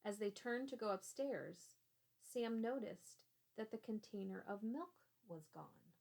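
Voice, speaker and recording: A woman teacher reading a children's storybook aloud in a deliberate, expressive way. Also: mid-range voice, creaky.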